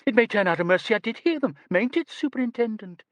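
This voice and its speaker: A man voicing a nervous, gossipy young woman who squeaks, stammers and rushes her words. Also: expressive, no room sound. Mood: excited gossip. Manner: breathless, stammering, rushing.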